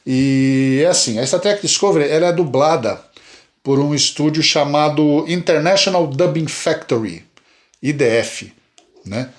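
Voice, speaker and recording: A Brazilian man from Brazil co-hosting a casual podcast chat, animated and emphatic, often repeating words. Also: fairly high pitch, unhurried. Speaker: podcast co-host.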